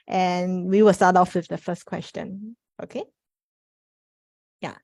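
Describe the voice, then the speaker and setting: Mellow-voiced woman moderating a panel. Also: on the low side, fairly even intonation, slow, gravelly, no echo, studio quality. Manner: informal, repeatedly says "you know". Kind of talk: spoken event introduction to an audience.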